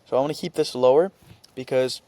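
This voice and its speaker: A man presenting an informal screen-share tutorial, talking haltingly as he thinks aloud and reads out what he types. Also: medium pitch, dark tone, dry recording. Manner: halting, thinking aloud while typing and reading out script.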